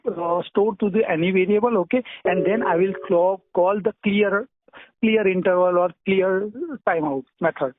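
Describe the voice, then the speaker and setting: A man with an Indian accent answering technical interview questions over the phone, hesitant and halting, often clearing his throat. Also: sits high, no echo. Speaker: job candidate being interviewed. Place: phone call. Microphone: telephone. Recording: tinny narrowband telephone line.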